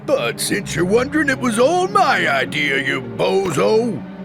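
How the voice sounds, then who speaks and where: Blustering cartoon villain henchman, high-pitched for a man, wildly swooping, boastful, folksy, dim-witted, video game cutscene. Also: big pitch swings, measured pace, creaky, music in the background, heavily acted. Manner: folksy, ungrammatical, blustering talk.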